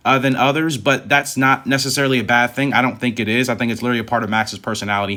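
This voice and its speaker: A man's voice: mid-range voice, a bit bright. A man giving a casual motorsport recap as a video host, conversational and emphatic, repeating points to stress them. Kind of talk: casual race recap commentary.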